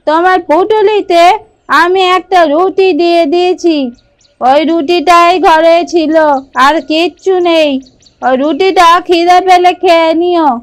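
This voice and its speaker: An actress playing an anxious, caring village wife in a cartoon, with a plaintive, homely, fussing manner. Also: female voice, extremely high pitch. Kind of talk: cartoon voice acting.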